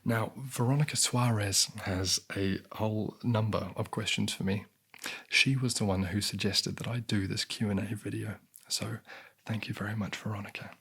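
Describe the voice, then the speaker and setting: A young New man talks softly and unhurriedly into a close mic. His lowish voice is husky and gravelly, worn raspy as if he is losing it. He keeps stopping to clear his throat between answers. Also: steady tone. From New Zealand. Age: 20s. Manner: soft-spoken, unhurried, frequent throat clearing. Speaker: ASMR channel host. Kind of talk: soft-spoken Q&A ramble.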